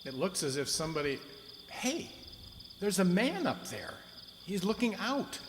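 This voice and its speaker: A man's voice: high-pitched, big pitch swings, a little slow. A man acting in an amateur radio drama as a nosy, incredulous friend with a hesitant, disbelieving manner. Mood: astonished, disbelieving. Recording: echoey hall, faint background noise.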